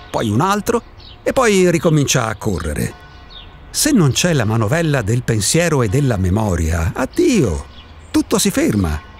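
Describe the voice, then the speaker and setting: An actor in a radio drama playing an eccentric, solitary man who insists he does not exist, philosophizing in a provocative, impatient way. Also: a little high. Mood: amused. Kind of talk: Italian radio drama acting.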